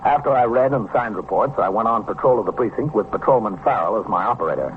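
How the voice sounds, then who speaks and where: A man's voice: lowish voice, animated, fast talker, close to an everyday voice. A man playing an authoritative police captain, narrating and giving orders matter-of-factly in 1950s radio crime drama style. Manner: matter-of-fact, commanding. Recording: tinny, noisy old radio recording.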